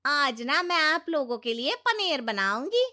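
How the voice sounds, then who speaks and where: A woman's voice: high-pitched, big pitch swings, measured pace, bright, forceful tone, full character voice. A woman voicing a sly, thieving household maid in a moral story, with a chatty, exaggerated manner. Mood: sly, cheeky.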